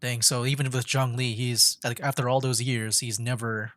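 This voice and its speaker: A man's voice: medium pitch, little pitch movement, normal speed, fairly bright tone, no room sound. A man giving casual commentary as he streams a video game. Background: game music.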